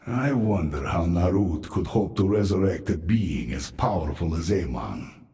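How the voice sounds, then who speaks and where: A deep, gravelly, ominous alien advisor. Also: male voice, lively intonation, a little slow, dark tone. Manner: solemn, portentous. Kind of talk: dramatic video game cutscene acting. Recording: echoing sound with game music behind.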